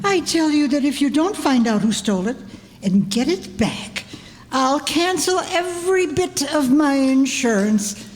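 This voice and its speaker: An actress playing an imperious, possessive wealthy old dowager aunt in old-time radio drama style, commanding and insistent. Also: female voice, high voice, animated, unhurried. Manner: commanding, insistent, cuts people off. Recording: roomy hall with long reverb.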